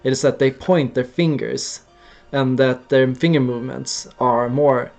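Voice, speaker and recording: A man's voice: medium pitch, steady tone, measured pace, vocal fry, darkish tone, soft background music. A young man from Sweden, casually explaining on a livestream in an earnest way and acting out gestures as he goes. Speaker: streamer.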